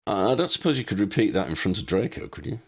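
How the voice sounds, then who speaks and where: An easygoing, cheeky young father from an audiobook, acted by a man. He is plain-spoken and earnest, with a husky voice and very animated intonation. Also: on the low side, fast. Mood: flustered, cheeky. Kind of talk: audiobook character reading.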